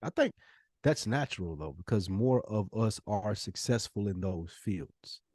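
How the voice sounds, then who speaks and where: A man's voice: on the low side, lively intonation, unhurried, darkish tone, no room sound, clean studio sound. An American man co-hosting a podcast, talking casually with emphatic, slangy opinions. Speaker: podcast co-host.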